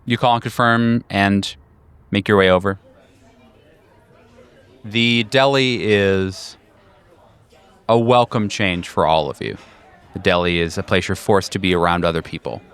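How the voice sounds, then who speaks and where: A man's voice: deep voice, measured pace, long pauses, a bit bright, clear, resonant tone, a faint crowd. A man narrating a tabletop horror game as its game master and host.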